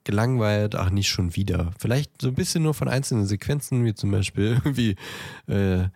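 A man's voice: on the low side, expressive, brisk, gravelly, a little mellow, dry recording, studio quality. A man co-hosting a podcast, chatting casually about films and often searching for words. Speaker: podcast co-host.

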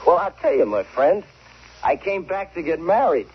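A man's voice: high voice, big pitch swings, normal speed. Brooding, jilted young man, swinging widely. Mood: tipsy, bitter. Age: young adult. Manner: brooding, dramatic, sardonic. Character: jilted, bitter young man convinced of a conspiracy. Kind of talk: 1940s radio acting, first-person suspense narration. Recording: dull, faintly noisy old radio recording.

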